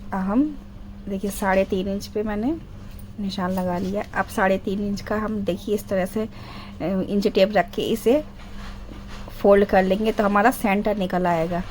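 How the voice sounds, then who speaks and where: A middle-aged woman with a sore, hoarse throat, doing a voice-over for a sewing tutorial and explaining each step patiently, clearing her throat often. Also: mid-range voice, little pitch movement, unhurried, a little mellow, slight background noise. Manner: step-by-step, repeats instructions. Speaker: sewing channel host.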